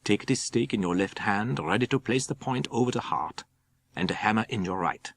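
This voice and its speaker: A man voicing a grave, commanding foreign professor who hunts vampires, speaking slowly and solemnly in broken English. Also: fairly low pitch, creaky, no echo, studio quality. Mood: grave, gentle.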